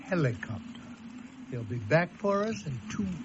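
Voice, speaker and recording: Formal courteous scientist in a radio play, precise, controlling, muffled with noisy ambience. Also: male voice, on the high side, sing-song, normal speed. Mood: courteous, controlled. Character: genteel, controlling male naturalist, smoothly courteous. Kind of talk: radio drama acting.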